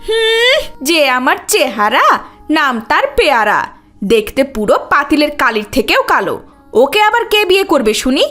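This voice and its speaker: A woman voicing a scornful middle-aged stepmother in an Islamic cartoon, nagging with sharp rhetorical questions. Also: high voice, very animated, normal speed, faint music behind.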